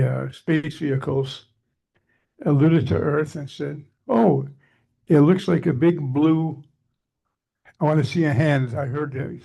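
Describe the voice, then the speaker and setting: A man's voice: on the high side, gravelly, warm tone, breathy edge. An older Canadian man giving a chatty, digressive talk to a society meeting, his voice scratchy from allergies. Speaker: guest speaker.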